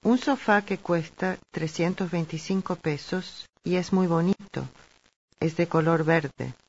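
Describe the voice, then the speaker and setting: A woman reading lively scripted dialogue for a language course. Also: on the low side, a little slow, breathy edge. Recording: dull lo-fi tape audio.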